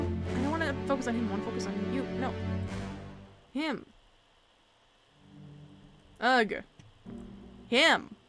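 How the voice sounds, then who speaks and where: A young woman casually commenting as she plays a mystery game, thinking aloud and working through her guesses. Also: on the high side. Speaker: let's play streamer.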